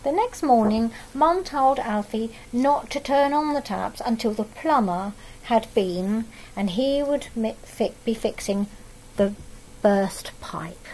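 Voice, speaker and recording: Slow, higher-voiced woman reading a storybook. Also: a little hiss or hum, poor audio. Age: middle-aged. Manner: expressive storytelling that pauses to comment on the pictures. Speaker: storybook reader for young children. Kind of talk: reading a picture book aloud, with asides to young listeners. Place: living room at home, indoors.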